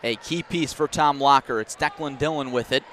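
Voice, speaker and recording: A man's voice: medium pitch, normal speed, fairly bright tone. A man doing live radio play-by-play of a basketball game in clipped running description that rises on big plays. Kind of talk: live radio sports commentary. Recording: faint gym noise, bouncing balls. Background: basketball game in a gym.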